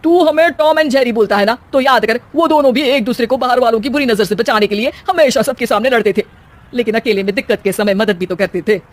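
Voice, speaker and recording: Cartoon: woman voicing a bossy mother-in-law, sarcastic and scolding, slightly high voice. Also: quick pace, lightly acted. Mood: earnest. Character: bossy older mother-in-law, sharp-tongued but caring. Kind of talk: cartoon voice acting.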